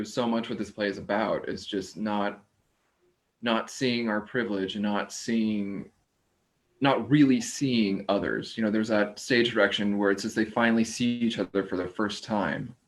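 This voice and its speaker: A man talking casually as an actor on a discussion panel, searching haltingly for words. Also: fairly low pitch, steady tone. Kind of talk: casual post-show talk.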